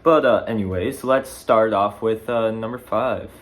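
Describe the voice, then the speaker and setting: A man's voice: fairly low pitch, measured pace. A fifteen-year-old boy casually hosting a video in a rambling way, often correcting himself. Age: teens, fifteen. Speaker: video blogger, host. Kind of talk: casual chat ranking video game movies.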